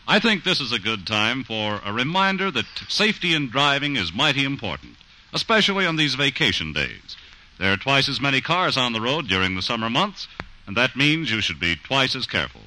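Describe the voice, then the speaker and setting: A man's voice: mid-range voice, very animated, a little fast, sharp tone, slight background noise, rough recording. A man announcing from a script in a mid-century radio style.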